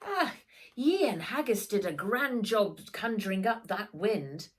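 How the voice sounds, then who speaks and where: A woman's voice: medium pitch, lively intonation, a little slow, husky, voice pushed up. Woman putting on a hearty, proud old grandpa. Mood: proud, pleased.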